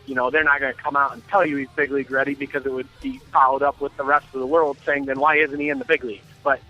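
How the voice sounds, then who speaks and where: An American man giving baseball commentary as a radio guest, measured and halting as he thinks aloud. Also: mid-range voice, level tone, pushed and bright, faint music behind, rough recording. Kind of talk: interview commentary.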